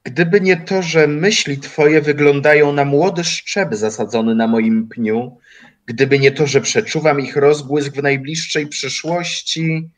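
An actor playing a calm, mocking old watchmaker and philosopher in an audio drama, speaking in deliberate, formal phrases. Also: male voice, a little high, natural voice. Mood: brooding, menacing. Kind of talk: audio drama acting, literary dialogue.

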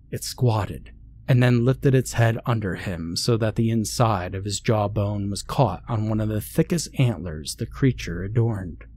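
A man's voice: fairly low pitch, darkish tone. A man narrating a scary story in a scripted, dramatic storytelling style.

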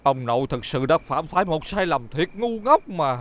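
A man playing a sentimental elderly grandfather in a dubbed children's cartoon, with a southern Vietnamese accent and an expressive, folksy manner. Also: a little high, normal speed. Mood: apologetic, sad. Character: elderly grandfather, sentimental, apologetic, grateful townsman. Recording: children's music loud behind the voice. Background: loud children's music.

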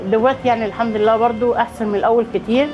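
A woman's voice: a little high, fairly even intonation, very fast, poor audio. A sixty-year-old woman with an Egyptian accent, answering casually and plainly in an interview in a small workshop. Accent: Egyptian Arabic. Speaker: interviewed shoe repairer. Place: small shoe repair workshop. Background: background music.

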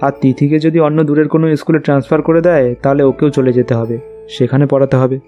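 A man's voice: a little high, fairly even intonation, fast, dark tone, lo-fi sound, faint music behind, close to an everyday voice. A man playing a young, friendly, dutiful reporter in casual cartoon story dialogue.

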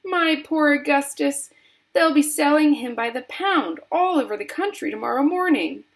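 A woman's voice: high-pitched, expressive, normal speed, vocal fry, pitched above the performer's own voice. A woman voicing a hysterical, indignant mother who shrieks and scolds, in a storybook reading. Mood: outraged. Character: hysterical, indignant, screeching mother of a greedy boy.

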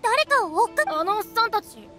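Anime game village child: cheeky, excitable, squeaky-high, lively delivery. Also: female voice, quick pace. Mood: eager. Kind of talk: Japanese anime game voice acting. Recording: music behind the voice.

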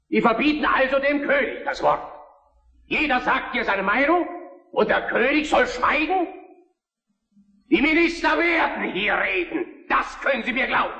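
A reverberant hall carries the voice of an imperious Prussian prime minister. He is defiant and oratorical, with a high pitch that swings widely, in historical radio drama style. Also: animated, moderate pace, pushed and bright, heavily acted. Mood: thundering. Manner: commanding, biting, oratorical. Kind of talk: German historical radio play acting. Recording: echoey parliament hall, long reverb.

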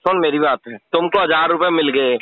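A man's voice: a little high, normal speed, no room sound. A man arguing casually on a phone call, probing informally and insisting that the other person listen. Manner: informal, probing, repeatedly demanding to be heard. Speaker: scam-baiter confronting a caller. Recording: tinny, narrow phone-call recording.

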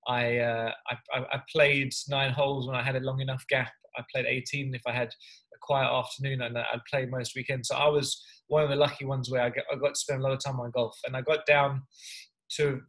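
Early-thirties male guest on webcam call; relaxed, rambling, mid-range pitch. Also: brisk, poor audio. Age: 30s. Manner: easygoing, rambling with repeats. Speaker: guest, personal trainer and golfer. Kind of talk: casual video-call interview. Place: home room, indoors. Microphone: video call webcam.

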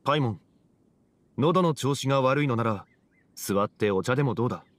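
A man playing a composed, wise young gentleman in an anime game, speaking in a formal, measured way. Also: mid-range voice, expressive, dry recording, natural voice. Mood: calm, courteous. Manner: formal, measured, archaic phrasing.

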